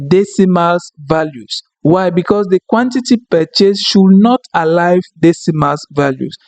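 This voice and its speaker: A man whose first language is French, walking viewers through a software tutorial and checking in often with "okay?" and "right?". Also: sits high, dry recording. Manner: explanatory, frequent "okay?" and "right?" check-ins. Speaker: tutorial instructor. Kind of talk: screen-recorded software tutorial.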